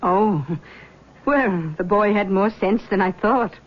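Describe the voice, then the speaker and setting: A woman's voice: mid-range voice, takes it slowly. An actress playing an overbearing, worried middle-aged mother in a vintage radio drama, fussy and reproachful. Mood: fretful. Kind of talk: 1970s radio drama acting. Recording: dull old radio broadcast with faint hiss.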